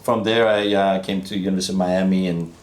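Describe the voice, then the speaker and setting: A low-pitched man in his fifties from Iran, talking as a physician guest on an interview show. He speaks reflectively and haltingly, often restarting his sentences. The recording has an echoey room sound. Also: little pitch movement. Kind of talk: casual interview conversation.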